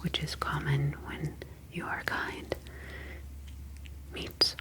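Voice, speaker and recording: A woman performs a calm alien scientist from the deep sea in a whispered ASMR roleplay. The delivery is very slow and even, breathy and formal, gently reassuring while staying clinical. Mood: soothing, clinical. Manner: slow, measured, formal phrasing.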